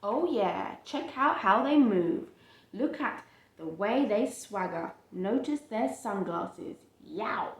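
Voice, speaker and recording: A woman reading a picture book aloud to a child and chatting about it, with expressive, drawn-out emphasis on phrases. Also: medium pitch. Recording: roomy, echoey home recording.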